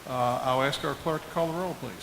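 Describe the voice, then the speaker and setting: Behind a clear layer of hiss, a man chairs a town council meeting. His voice sits at a medium pitch. He speaks formally and deliberately, with halting pauses as he works through procedure. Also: a bit bright, poor audio. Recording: hissy public meeting room microphone.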